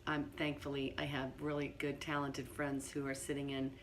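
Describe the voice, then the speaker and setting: Hoarse low-voiced woman, quiet kitchen talk. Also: completely flat delivery, moderate pace, slight background noise. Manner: quiet, partly whispered speech. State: hoarse from laryngitis. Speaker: home cooking host. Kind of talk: casual cooking demonstration. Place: home kitchen.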